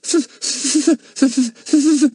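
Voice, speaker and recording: A high stammering Cockney rag-and-bone lad. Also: male voice, sing-song, measured pace, a bit bright, dry recording, studio quality, full character voice. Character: young man faking a Cockney rag-and-bone seller.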